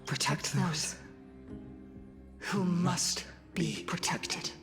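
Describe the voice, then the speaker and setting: Game role: hushed, selfless inner voice, solemn, unhurried, in long reverb. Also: mid-range voice, steady tone, music in the background. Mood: solemn, resolute. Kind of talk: dramatic video game voice acting.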